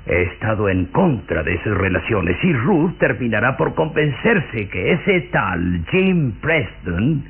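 A thin, noisy old radio recording of an elderly aristocratic father. He is pompous, effusive and domineering, with a lively, swooping delivery. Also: medium pitch, slow, few pauses, phone-quality sound. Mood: disdainful. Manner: pompous, effusive, hesitant phrasing. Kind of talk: old radio drama acting.

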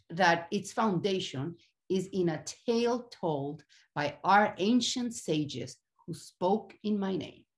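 Woman acting proud Lady Wisdom, animated and grand. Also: mid-range voice. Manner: grandiose, declamatory. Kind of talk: theatrical monologue from a translated Renaissance comedy.

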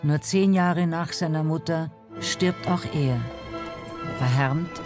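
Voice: medium pitch, slow pace. A narrator reading a scripted documentary narration. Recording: music bed under narration. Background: music.

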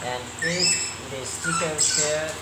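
Slow, low-voiced woman over loud noise. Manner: halting, short simple phrases. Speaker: repair tutorial presenter. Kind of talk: step-by-step hands-on instruction. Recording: loud background noise, bird and animal sounds.

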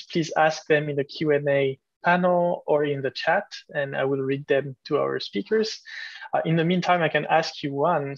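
Gravelly man moderating a video call. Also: fairly high pitch, no room sound. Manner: polite, inviting. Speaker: webinar moderator. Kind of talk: moderating online Q and A. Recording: dry, dull lo-fi video call audio.

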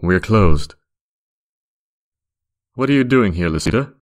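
A stern fire-man bartender, acted by a woman talks extremely low and slowly, close and dry. The delivery is firm and deliberate, like a wise cartoon counselor. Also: expressive, darkish tone, no echo, exaggerated voice. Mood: surprised, curt. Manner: firm, deliberate, matter-of-fact. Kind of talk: fan comic dub acting.

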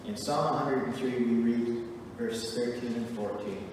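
Earnest middle-aged pastor in an echoey hall. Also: male voice, mid-range voice, noisy background. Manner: earnest, emphatic preaching with measured pauses. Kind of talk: sermon. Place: church sanctuary, at a wooden pulpit. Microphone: pulpit mic, recorded from a far camera. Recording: echoey church hall from far off.

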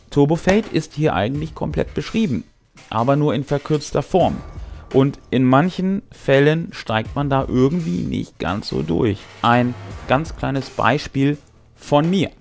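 Guy in his 40s chatting to camera about tabletop games, kinda wry and laid-back, mid pitch, bit of music under it. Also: vocal fry, darkish tone. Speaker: host. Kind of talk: casual talk to camera about a tabletop role-playing game. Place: small brick-walled game room indoors. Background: background music.

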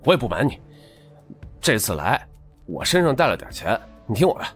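A man's voice: mid-range voice, very animated, brisk, husky, soft background music. A man playing a tipsy, persuasive street hustler in an audiobook drama, earnest, coaxing and colloquial.

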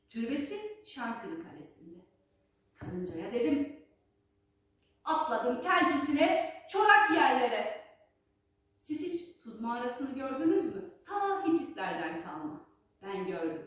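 A woman telling a children's folk tale as a storyteller, with rhythmic, rhyming, theatrical delivery. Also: high-pitched. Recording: very echoey space, distant microphone.

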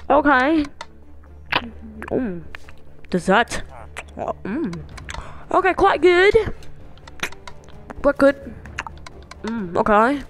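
A woman playing a fussy, haughty villager chef in an amateur cartoon dub, speaking in an offhand way. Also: a little high. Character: picky, haughty villager chef judging food.

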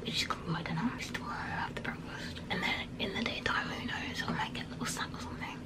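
A woman's voice: lowish voice, fairly even intonation, fast. A young woman chatting casually as a travel vlogger, full of fillers and often dropping to a whisper. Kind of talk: casual vlog chat.

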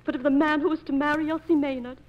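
Woman as a high-voiced, sharp-tongued operetta maiden. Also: steady tone, fast talker, highs cut off, lightly acted. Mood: agitated. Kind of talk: 1940s radio operetta acting. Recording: old 1940s radio transcription disc, music.

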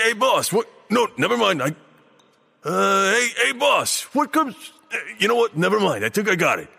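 Dim nervous henchman, sharp gravelly voice, hesitant folksy muddle, comic audio drama. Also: mid-range voice, heavily acted. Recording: reverberant hall sound.

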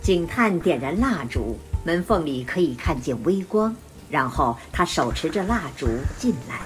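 A woman's voice: deep voice, sing-song, normal speed. A woman narrating a scripted radio drama as the storyteller. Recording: music behind voice.

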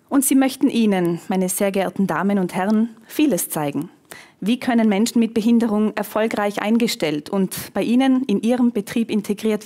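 A woman in her thirties with a Tyrolean Austrian accent, hosting an event on stage in a lively, chatty, self-joking way. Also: mid-range voice. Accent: Tyrolean Austrian German. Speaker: event host, TV presenter. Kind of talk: event moderation. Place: conference hall on a stage.

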